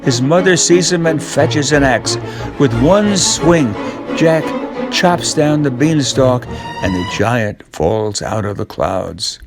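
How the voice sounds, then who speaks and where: An older man narrates a children's story at a slow, measured pace. His pitch is medium, and faint music plays behind him. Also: lively intonation.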